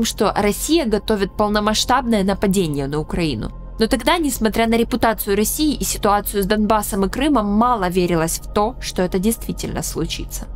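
Young woman narrating solemnly over soft music. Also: medium pitch. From Ukraine. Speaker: channel host. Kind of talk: scripted personal narration. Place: small indoor room with a studio mic. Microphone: studio microphone in frame.

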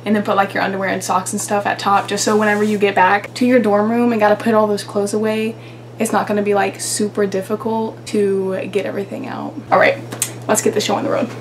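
A woman's voice: medium pitch, flat delivery, quick pace, a little hiss or hum. A young woman chatting to her viewers in a casual vlog, giggly and talkative, recorded on a handheld camera in a small bedroom. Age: young adult. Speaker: vlogger.

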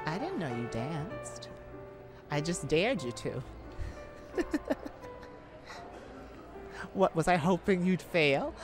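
Woman acting a flirtatious, lively-toned lady thief, music behind. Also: fairly low pitch. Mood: playful, flirtatious. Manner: teasing, hesitant, breaking into soft laughs. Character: flirtatious, playful, shyly romantic woman thief on a date. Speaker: audio roleplay performer. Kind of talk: romantic ASMR roleplay acting.

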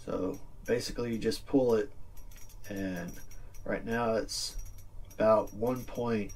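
A middle-aged man with a husky voice giving a casual, plain-spoken instructional demonstration, recorded indoors from a few feet away. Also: lowish voice, fairly even intonation, takes it slowly, a little mellow, recorded from a distance. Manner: plain-spoken, informal explaining. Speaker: fishing video presenter. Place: small indoor room with green screen backdrop.

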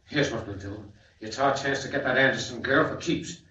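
A man's voice: medium pitch, normal speed, bright, forceful tone, recorded from a distance, slight background noise, natural voice. A man plays a ruthless scheming gang boss in a 1940s radio serial. He gives terse, bossy orders. The sound is muffled and echoey, a little off the mic. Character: scheming crime boss, curt, commanding swindler and killer.

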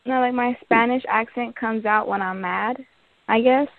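A woman's voice: fairly high pitch, steady tone, moderate pace, creaky, dry recording. A woman from Compton, a podcast guest in casual chat, laughing easily and playing down her own music taste. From Compton, California. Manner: laughing, self-deprecating. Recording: tinny phone-like audio.

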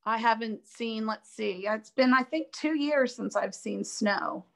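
A woman teaching a live online drawing class, chatty and upbeat, thinking aloud as she works, her voice a little raspy from allergies. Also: a little high, measured pace. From Florida. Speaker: art instructor.

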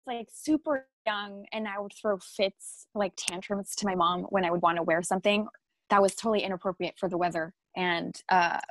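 A woman's voice: medium pitch, fairly even intonation, moderate pace, soft background music. A young woman from France as an interview guest, talking casually over a video call with lots of ums and laughs. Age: young adult. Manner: chatty, full of ums, laughs often. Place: home room with art on the wall. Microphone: laptop or phone camera on a video call.

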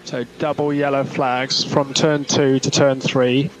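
A man working as a race engineer, giving clipped, calm, repeated instructions over team radio. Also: mid-range voice, a little slow. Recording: noisy motor racing radio, engine noise. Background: car engine noise.

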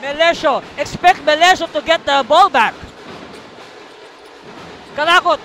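A man calling a live basketball game from courtside, energetic and shouting on the big plays. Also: very high voice, unhurried. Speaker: play-by-play commentator. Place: courtside booth in a packed arena. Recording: noisy arena broadcast.

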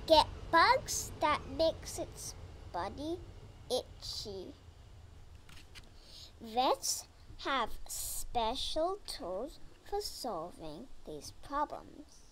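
A girl reading a children's picture book aloud, slowly and carefully, sometimes stumbling over words. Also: sits high, big pitch swings, bright, forceful tone, slight background noise. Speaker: reader.

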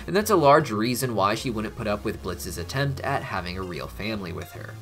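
A man's voice: mid-range voice, a bit bright. A man hosting a cartoon analysis channel, giving scripted video-essay narration in an analytical, theorizing manner. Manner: analytical, theorizing in first person. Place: voice-over over cartoon clips.

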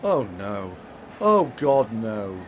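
Male voice, a man as a panicky blame-dodging advisor, animated pitch, faint hiss behind. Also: drawn-out words, exaggerated voice. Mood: frantic. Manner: frantic, shouting, evasive. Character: frantic male junior advisor, blame-shifting. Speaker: political advisor. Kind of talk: radio comedy acting.

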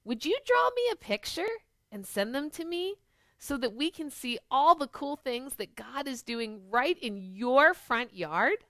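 A middle-aged woman talks to small children as a storytime host. Her voice is high and swoops widely, with an unhurried pace. She mixes chat, questions and picture-book reading in an animated way. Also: no echo, studio quality. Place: small living room at home, on a couch.

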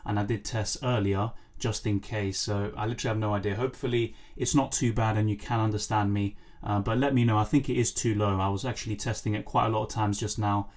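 Hoarse man streaming with a lost voice. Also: on the low side, darkish tone. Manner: apologetic, rambling, answers chat questions. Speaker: fantasy football streamer. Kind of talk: casual live stream chat, reading comments. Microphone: faulty microphone.

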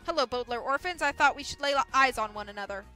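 Woman putting on a loud, blustering, bossy male boss. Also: sits high, fast talker, soft background music. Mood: boastful. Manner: brusque, loud, emphatic. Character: blustering, bossy mill owner, a self-satisfied man.

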